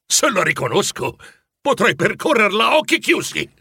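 A man voicing an excitable, easily frightened old miser who exclaims in a high, agitated voice. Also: moderate pace, creaky, husky, no room sound, studio quality, exaggerated voice. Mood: astonished.

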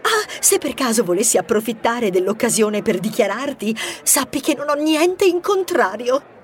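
A man's voice: very high voice, sing-song, moderate pace, voice pushed up. A man voicing a sassy, flirty female mouse in a storybook, with a teasing, theatrical manner.

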